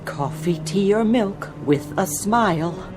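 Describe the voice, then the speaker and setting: A woman's voice: medium pitch, expressive, slow. A woman playing a composed, firm senior flight attendant hiding worry in a radio drama. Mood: grave, controlled. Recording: dramatic music behind.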